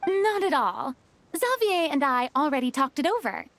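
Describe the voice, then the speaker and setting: A woman playing an expressive, encouraging young female film director in a video game. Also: high-pitched, normal speed, soft background music, exaggerated voice. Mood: reassuring.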